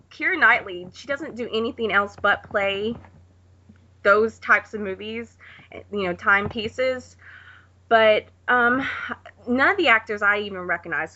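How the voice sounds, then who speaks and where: A woman's voice: mid-range voice, sharp tone, dull audio, slight background noise. A woman giving casual opinions as a guest panelist on a movie podcast.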